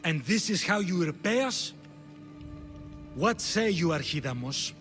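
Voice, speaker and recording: Highish, haughty, scheming Spartan king. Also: lively intonation, measured pace, fairly bright tone, soft background music. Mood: smug. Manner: haughty, sardonic. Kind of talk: dramatic video game cutscene acting.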